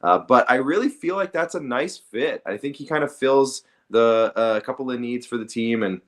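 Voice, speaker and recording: A man's voice: mid-range voice, expressive, moderate pace. A man co-hosting a sports podcast, talking casually and conversationally with some hedging. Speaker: hockey podcast co-host.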